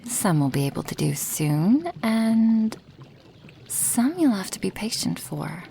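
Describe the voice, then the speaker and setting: A woman voicing an affectionate female dragon who brews potions, in a soft, intimate ASMR roleplay with breathy half-whispers and sighs. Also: medium pitch, sing-song, slow pace, a little hiss or hum, full character voice. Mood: tender, teasing. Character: affectionate female dragon, a potion maker tending her human guest.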